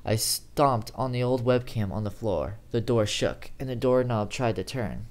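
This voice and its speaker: Guy reading a creepy first person story out loud, kinda theatrical, normal mid pitched voice. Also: unhurried. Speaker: amateur horror story reader. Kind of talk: dramatic first-person horror story narration.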